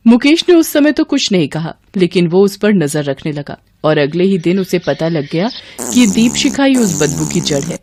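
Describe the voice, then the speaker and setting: A woman's voice: fairly low pitch, sing-song, normal speed, warm tone. A woman narrator reading a scripted storybook tale.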